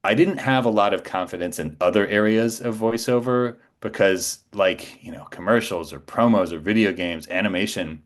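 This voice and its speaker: A man's voice: lowish voice, moderate pace, few pauses. A man in his forties speaking as an interview guest, telling anecdotes casually and with self-assurance. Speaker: podcast guest, voice actor.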